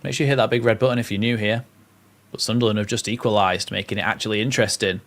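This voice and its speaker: Lowish-voiced northern English football streamer. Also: male voice, steady tone, fast, fairly bright tone. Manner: play-by-play calls mixed with reading out viewer comments. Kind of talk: live match commentary and chat.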